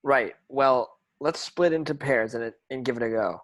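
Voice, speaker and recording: A man's voice: medium pitch, normal speed, fairly bright tone, lightly acted. A man reading the part of an earnest teenage boy wizard instructing his schoolmates in an amateur script read-through. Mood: insistent. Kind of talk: amateur table read of a screenplay.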